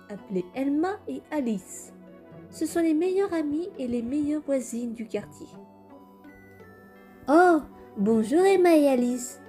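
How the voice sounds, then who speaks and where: High, mellow young boy played by a woman, earnest puppet-show presenter, storytelling delivery, music underneath. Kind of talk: French fan comic dub, storytelling.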